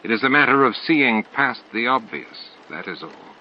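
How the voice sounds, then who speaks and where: A man's voice: medium pitch, expressive, normal speed, close to an everyday voice. A man voicing a fervent religious believer in an audiobook, solemn and preacherly. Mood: patient. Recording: dull lo-fi audio, clear noise.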